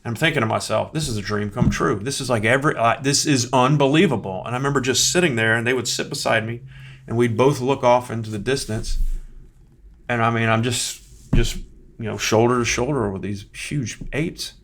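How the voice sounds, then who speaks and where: A man who is a podcast guest, telling a personal story in a casual, animated way. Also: medium pitch, a little fast.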